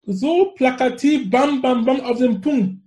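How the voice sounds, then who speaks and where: A man's voice: very high-pitched, a little slow, warm tone. A man in his forties from Cameroon with a Cameroonian accent, speaking as an interview guest with emphatic, repetitive enthusiasm. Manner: effusive, emphatic, fond of repeating phrases. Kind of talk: conversational interview.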